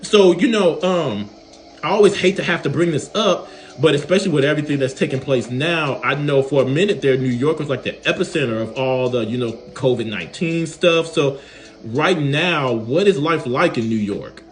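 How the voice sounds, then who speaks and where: A man's voice: on the high side, normal speed. A man hosting an interview show, friendly and easygoing, with frequent fillers.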